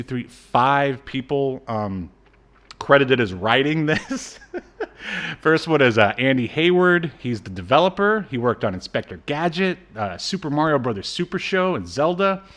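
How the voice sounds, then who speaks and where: A man's voice: mid-range voice, animated, measured pace, a bit bright. Jokey rambling man co-hosting a podcast. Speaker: podcast co-host. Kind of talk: casual banter, reading off credits.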